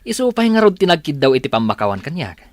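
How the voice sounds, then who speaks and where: Man acting cocky Filipino boyfriend, swinging pitch. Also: sing-song, quick pace, no room sound, clean studio sound. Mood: smug. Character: cocky, unrepentant young Ilocano boyfriend. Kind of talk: radio drama acting.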